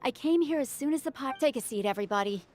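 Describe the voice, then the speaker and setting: An actress playing a practical, caring woman medic in a video game, with a brisk and animated manner. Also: sits high. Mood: relieved, brisk. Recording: music under the voice.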